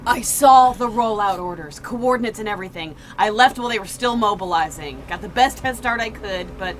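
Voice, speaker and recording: A woman's voice: medium pitch, fairly quick, lightly acted. An actress playing a hard-bitten, exasperated woman agent, brusque and commanding, in a sci-fi thriller audio drama. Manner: brusque, foul-mouthed, commanding. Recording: noisy moving vehicle ambience.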